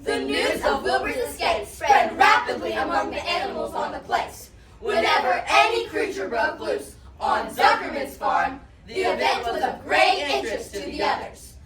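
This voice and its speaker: A woman's voice: sits high, sing-song, moderate pace, hardly any pauses. A young female narrator giving a theatrical, scripted storybook reading in a projected, emphatic delivery. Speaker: narrator in student reader's theatre. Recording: echoey hall, crowd noise, distant mic.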